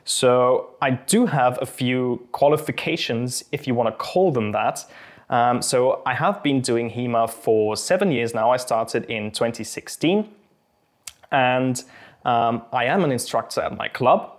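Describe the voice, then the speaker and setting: A man's voice: medium pitch, lively intonation, unhurried. Austrian man with a British-tinged accent. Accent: Austrian German mixed with British English. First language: Austrian German. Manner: friendly, thoughtful, a little hesitant with frequent ums. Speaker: channel host and fencing instructor. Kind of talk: casual Q&A to camera.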